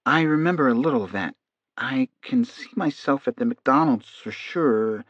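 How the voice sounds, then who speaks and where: Male reader as earnest, entranced male prisoner for audiobook, soft and hesitant, slightly muffled sound. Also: medium pitch, no echo, lightly acted. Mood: hesitant recalling. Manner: soft, halting, half-distracted. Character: hypnotized male defendant, meek, earnest, hesitant.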